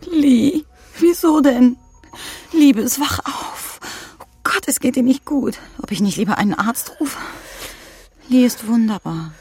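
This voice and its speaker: A woman's voice: on the high side, slow, a little mellow, breathy edge, no room sound. An actress playing an anxious, disbelieving woman in a radio crime drama, earnest and questioning. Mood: distraught, tender. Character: anxious, disbelieving woman, devoted to her friend.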